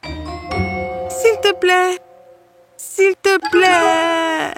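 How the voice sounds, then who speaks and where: A woman voicing a sweet, eager young twin sister in an animated fairy tale, with a coaxing manner. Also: very high voice, very slow, music in the background, heavily acted. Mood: pleading.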